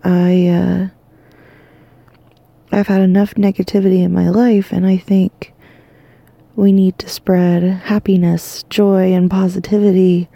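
A woman hosting a bedtime podcast from her bed, exhausted and losing her voice, talking softly and drowsily in a rambling half-whisper. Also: on the low side, level tone, unhurried, vocal fry, no echo, highs cut off. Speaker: podcast host. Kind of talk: sleepy bedtime monologue. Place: lying in bed at home.

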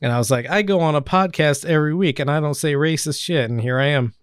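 Halting man hosting a podcast, smooth voice. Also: a little high, dry recording, clean studio sound. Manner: halting, many fillers and restarts. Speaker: podcast host. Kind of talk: casual political chat.

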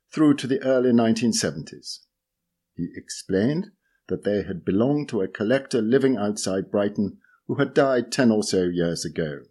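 A man reading a literary magazine essay aloud, measured and precise, lifting key words for emphasis. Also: lowish voice, a little mellow. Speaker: reader of a magazine article. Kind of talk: read-aloud essay narration.

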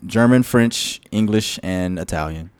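A man co-hosting a casual podcast chat, measured and wry in how he talks. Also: low-pitched, clean tone, dry recording. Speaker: podcast co-host, singer.

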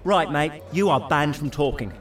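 A man's voice: fairly high pitch, animated, moderate pace, fairly bright tone, recorded from a distance. An actor playing the mouthy, berating, panicky inner voice of an awkward British man in an audio drama. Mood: scolding. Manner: sweary, berating.

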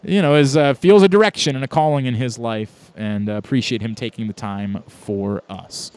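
A man's voice: mid-range voice, moderate pace, a little mellow, dry recording. A man hosting a podcast, effusive and thankful, with many fillers. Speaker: podcast host. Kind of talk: casual podcast chat.

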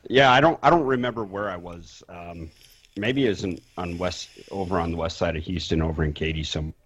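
A middle-aged man joining a podcast remotely, gruff and teasing in casual banter. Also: sits low, fairly quick, fairly bright tone. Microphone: remote call.

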